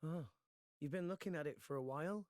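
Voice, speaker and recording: A man's voice: on the high side, fairly quick, a little mellow, no echo, clean studio sound, close to an everyday voice. An actor playing an earnest, good-natured teenage boy hero in a video game, with a hesitant, sincere delivery. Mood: friendly. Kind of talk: video game cutscene voice acting. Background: orchestral music.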